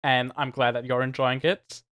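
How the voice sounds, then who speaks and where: Bright, chatty gamer man. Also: mid-range voice, normal speed, smooth. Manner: animated, chatty, laughs between points, many restarts. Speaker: podcast co-host. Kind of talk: casual podcast chat.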